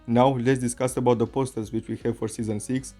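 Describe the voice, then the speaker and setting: A man's voice: medium pitch, level tone, brisk, warm tone, faint music behind. A man whose first language is Romanian, hosting a chatty fan commentary video in English. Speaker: channel host.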